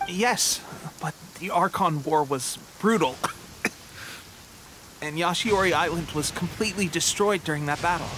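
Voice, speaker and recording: A man's voice: a little high, a little slow, background noise, close to an everyday voice. An actor playing a brave, eager young resistance soldier in a video game who hides that he is unwell. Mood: earnest, solemn. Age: young adult.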